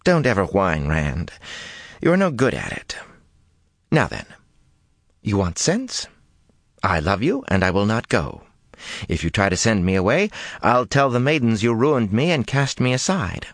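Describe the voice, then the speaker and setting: A man's voice: fairly low pitch, big pitch swings, unhurried, pauses often, no echo, clean studio sound, voice pushed up. A man reading an audiobook, putting on a fiery, teasing young woman who scolds and jokes by turns.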